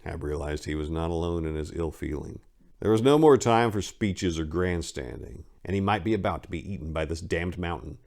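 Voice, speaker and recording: A man's voice: low voice, expressive, brisk, gravelly, a little mellow. A man reading his own story aloud as dramatic narration, in a grave, ominous, emphatic manner.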